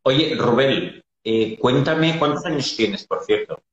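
A man's voice: medium pitch, animated, takes it slowly. A man hosting an interview, prompting with short questions and hesitant fillers. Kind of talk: casual interview. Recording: echoey muffled remote line.

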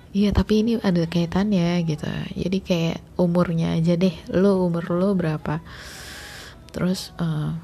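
Mellow low-voiced woman chatting. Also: husky. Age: late 20s. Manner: chatty, rambling, full of fillers. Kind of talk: casual storytelling monologue.

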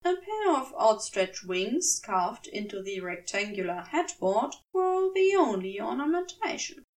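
A woman reading a story aloud as scripted, expressive narration. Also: on the high side, a little slow, distant mic. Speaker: podfic reader.

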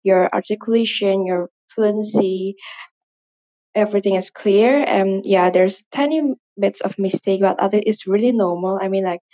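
Woman, Indonesian accent, non-native English, hesitant and rambling, mid pitch, dull lo-fi recording. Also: moderate pace, dark tone. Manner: rambling, hesitant, many fillers. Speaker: listener leaving a voice message. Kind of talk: casual spoken voice message.

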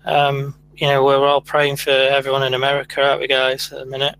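Male host, earnest and steady; bright tone, monotone delivery, faint hum. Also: mid-range voice, low-quality recording. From United Kingdom. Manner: measured and earnest, steady delivery with many fillers. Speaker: podcast host. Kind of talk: casual chat.

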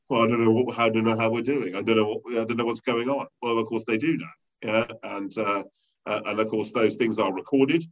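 A man leading a company, talking through an interview in a rambling way with many fillers and restarts, with a loud voice. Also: mid-range voice. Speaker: company leader interviewed as guest. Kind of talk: conversational business interview. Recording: dull lo-fi sound.

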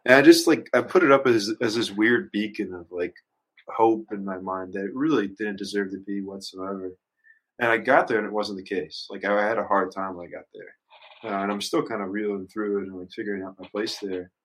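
Low-voiced, thoughtful young man. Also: fairly quick, poor audio. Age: early 20s. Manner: rambling, youthful slang, thoughtful. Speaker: musician guest. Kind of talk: casual reflective interview chat.

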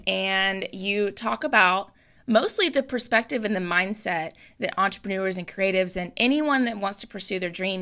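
Female interviewer, crisp bright tone, mid-range pitch, conversational talk show delivery.

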